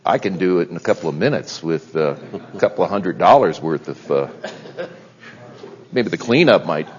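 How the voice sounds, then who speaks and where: A man's voice: deep voice, expressive, moderate pace. A man speaking as a senator, wry and puzzled as he questions a cost. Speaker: state senator. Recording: roomy lo-fi chamber audio.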